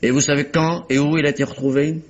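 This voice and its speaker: An actor playing an imperious, insistent public prosecutor with formal, pointed questioning in a vintage French radio mystery. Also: male voice, a little high, flat delivery, fast, close to an everyday voice. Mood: pressing, assured. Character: imperious, insistent English male public prosecutor interrogating witnesses. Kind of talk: 1950s-style French radio mystery acting. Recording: dull, muffled vintage radio recording.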